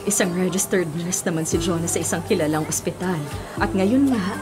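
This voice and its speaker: A woman's voice: lowish voice, brisk, natural voice. A Filipino woman acting in a radio drama as a self-sacrificing, ailing older mother, with a plaintive manner. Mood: gently resolute. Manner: long-suffering, plaintive.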